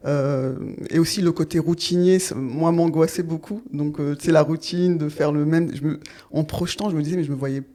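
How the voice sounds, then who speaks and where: A woman's voice: sits low, steady tone, fairly quick, dark tone. A woman in her thirties from Paris, a nurse talking as a podcast guest in a reflective, hesitant way with many fillers. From Paris, France. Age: 30s. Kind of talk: casual interview chat.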